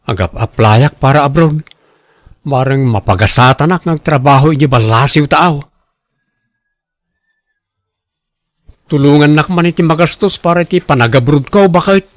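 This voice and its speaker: A man's voice: a little high, moderate pace, lightly acted. A man with a northern Philippine accent playing a hesitant, debt-ridden husband in a radio drama. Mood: dejected, hopeful. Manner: hesitant, pleading.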